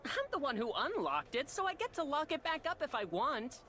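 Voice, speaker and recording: A woman's voice: high voice, sing-song, fairly quick, pushed and bright, heavily acted. A woman voicing a scrappy young boy inventor in a video game, brash and slangy. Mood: cocky. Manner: brash, slangy, emotional pleading. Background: game music.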